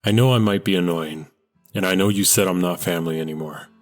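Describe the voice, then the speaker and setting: A young man in a radio drama leaves heartfelt voicemails for his estranged sister, played by an actor. His voice is very low and earnest, with soft music under him. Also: quick pace, close to an everyday voice. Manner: earnest, vulnerable.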